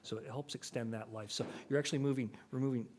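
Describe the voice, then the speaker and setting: A man presenting technical details at a public meeting, measured and full of fillers. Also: medium pitch, fairly even intonation, darkish tone. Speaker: bridge authority official.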